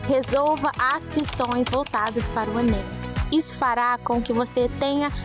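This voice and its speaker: A woman's voice: a little high, moderate pace. A woman English teacher delivering study tips as a list of short instructions. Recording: music under the voice.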